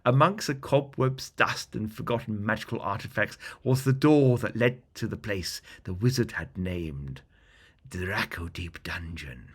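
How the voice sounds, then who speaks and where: A man narrating a scripted fantasy bedtime story as a storyteller, with dramatic, deliberate phrasing. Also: on the low side, husky.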